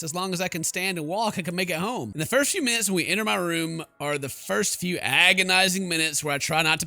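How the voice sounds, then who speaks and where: A man's voice: a little high, bright, forceful tone, dry recording. A man hosting a game playthrough, reading story text aloud and adding joking, playful asides. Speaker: gaming video host. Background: game music.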